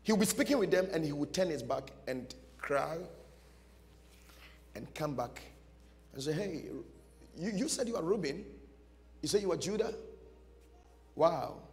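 A man's voice: on the high side, expressive, a little slow, pauses often, a little mellow. A man, preaching a dramatic storytelling sermon emphatically, repeating short phrases. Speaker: pastor preaching. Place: large church stage before a seated audience. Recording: music behind, long hall reverb.

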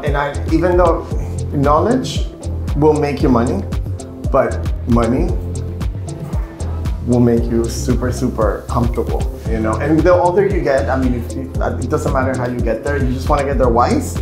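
A man's voice: medium pitch, moderate pace. Man from Puerto Rico, thirties, rambling casual chat, roomy indoor sound, music behind. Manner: rambling, restarts sentences, searches for words. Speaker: hairstylist.

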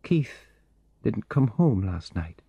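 A man's voice: lowish voice, big pitch swings, slow pace, vocal fry, warm tone, slightly rough, dry recording, dull audio, full character voice. A man voicing a distraught, weeping middle-aged woman in an audiobook story, with a shaky, emotional, pleading delivery. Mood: anxious, confiding.

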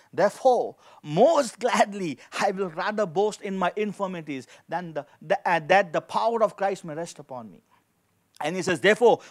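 A man in his forties preaching a sermon as a pastor, emphatically, repeating key phrases for effect. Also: sits high. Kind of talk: sermon with scripture reading and prayer. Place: indoor studio with dark backdrop, at a lectern. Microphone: handheld microphone.

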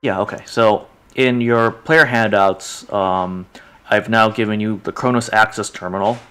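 A man's voice: fairly low pitch, a little slow, sharp tone, clean tone. A man explaining things casually as game master of an online tabletop game. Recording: noisy online voice chat, keyboard clicks.

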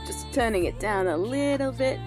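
A woman's voice: fairly high pitch, lively intonation, moderate pace. A woman giving a chatty, enthusiastic, casual reaction commentary as host of her video. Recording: music behind the voice.

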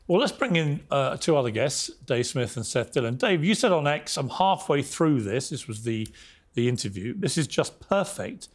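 A man's voice: medium pitch, big pitch swings, normal speed. A British man hosting a talk show, pressing his guests with pushy, incredulous questions and interrupting. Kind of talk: combative interview.

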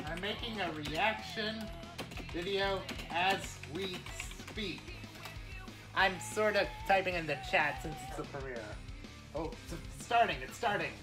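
A woman hosting a reaction video, talking casually in an excitable, rambling way. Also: on the low side, a little slow, music in the background. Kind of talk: casual live-style reaction commentary.

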